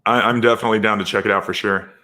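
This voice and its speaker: Bright-voiced, lowish man. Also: fairly even intonation, very fast. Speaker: guest documentary maker. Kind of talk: casual promotional chat.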